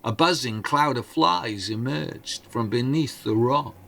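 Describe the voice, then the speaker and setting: A man narrating as an oral storyteller, theatrical and deliberate, in short measured phrases. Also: mid-range voice, creaky, a bit bright. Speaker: storyteller, podcast host.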